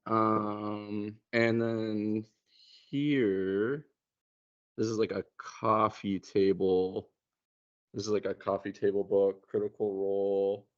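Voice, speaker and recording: A man in casual conversation, talking in a hesitant, halting way and often repeating words. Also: on the low side, little pitch movement. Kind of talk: casual chat. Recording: muffled, dull lo-fi sound.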